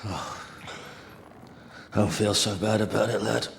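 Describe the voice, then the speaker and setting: An actor playing a weary, world-wise older male prisoner with a gravelly voice in a video game. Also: fairly low pitch, fairly even intonation, slow, slight background noise. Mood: resigned, consoling. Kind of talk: video game cutscene acting. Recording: echoey space, music behind.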